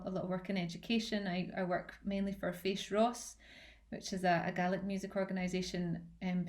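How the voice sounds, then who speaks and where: A woman with a Scottish accent, a musician giving an informal introduction of herself at an online meeting. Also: mid-range voice. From south-west Scotland. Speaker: musician, singer and music educator.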